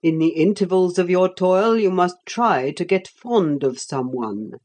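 A woman voicing a grave, calm Roman emperor who passes judgment in a slow, measured way. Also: on the low side. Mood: solemn.

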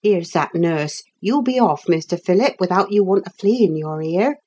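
A woman's voice: fairly low pitch, animated, normal speed, mellow, husky, muffled recording. A woman reading aloud, voicing a blunt, grumbling older cook with working-class speech. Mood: scolding.

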